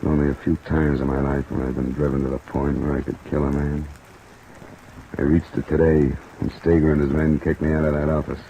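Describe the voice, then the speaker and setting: Deep-voiced hard-boiled American radio detective. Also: male voice, little pitch movement, fairly quick, vocal fry, warm tone, lo-fi sound. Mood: weary, intimate. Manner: terse, hard-boiled delivery. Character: tough, weary male insurance investigator narrating his case. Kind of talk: 1950s American detective radio drama acting. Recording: old radio recording under rain noise.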